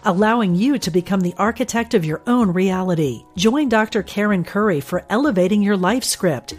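A woman's voice: mid-range voice, animated, moderate pace. A woman reading scripted advertisement narration as a promo announcer.

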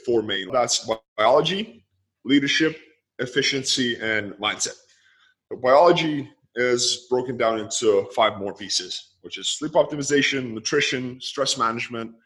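A man from Lithuania, a coach talking as a podcast guest, quickly listing ideas one after another. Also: on the low side, a bit bright. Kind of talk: casual podcast interview.

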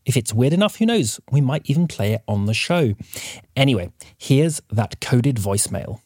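A woman's voice: rumbling low, very animated, normal speed, a little mellow, husky. A woman announcing scripted podcast credits and appeals to listeners. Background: music.

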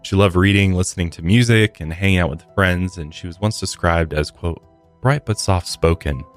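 A man's voice: deep voice, normal speed. A man with an American accent hosting a true crime podcast, moving between scripted storytelling and casual commentary in a somber manner. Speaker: podcast host. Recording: faint music behind.

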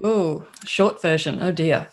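A woman's voice: fairly low pitch, slow, a little mellow. A woman with an Australian accent, a guest psychologist chatting in an interview and explaining with many ums. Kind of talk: casual interview talk.

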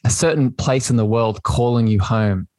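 A man's voice: medium pitch, normal speed, no echo. A man hosting a podcast, talking in a gushing, spiritual way with his guest. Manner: warm, gushing, spiritual phrasing. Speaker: podcast host. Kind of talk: casual podcast interview.

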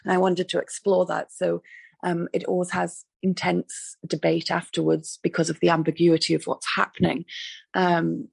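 Hoarse woman playwright on a video call, super gravelly, kinda flat, lots of ums. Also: lowish voice, normal speed, no echo. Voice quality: raspy, gravelly. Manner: earnest, frequent fillers and restarts. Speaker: playwright guest. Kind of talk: interview over video call.

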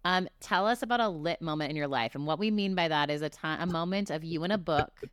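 A woman with a smooth, clear voice moves briskly through a casual interview as host. She is informal and affirming with her guest, and the sound is close and dry. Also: low voice, no echo. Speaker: podcast host.

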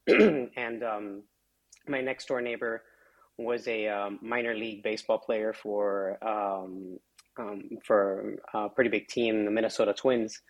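A man's voice: on the low side, lo-fi sound. A middle-aged man from South Florida, a physician associate, answering interview questions in a conversational way, full of fillers like "um" and "you know". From South Florida, United States. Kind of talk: interview answers, life story.

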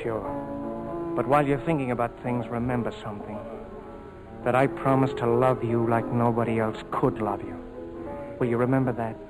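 A man plays a lovestruck young airman, plainspoken and earnest, in a mid-pitched voice. Music runs under this old 1940s radio broadcast. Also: steady tone, normal speed, natural voice, rough recording. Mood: tender, earnest. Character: eager, lovestruck young airman, sincere and easygoing. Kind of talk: 1940s radio drama acting.

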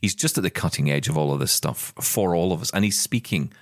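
A man's voice: low-pitched, animated, a little fast, no room sound, studio quality. A British man co-hosting a podcast, chatting enthusiastically about tech news with frequent restarts. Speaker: podcast co-host. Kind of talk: casual tech news chat.

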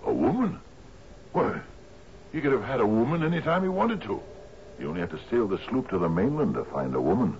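An actor playing a doddering, sentimental old island sea captain who stammers and repeats himself, in a 1970s radio drama. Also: male voice, on the low side, sing-song, a little fast, a little mellow. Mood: puzzled. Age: older. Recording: dull, noisy old radio recording.

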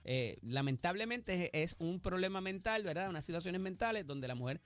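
A man's voice: high voice, expressive, fairly quick. A man hosting a casual radio panel chat, thoughtful, with frequent fillers and tag questions. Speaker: radio show host.

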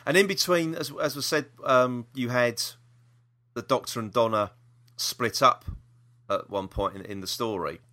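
A man's voice: medium pitch, unhurried, pushed and bright. A man chatting casually as a podcast co-host, enthusiastic and repeating himself for emphasis. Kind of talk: casual review chat about audio dramas.

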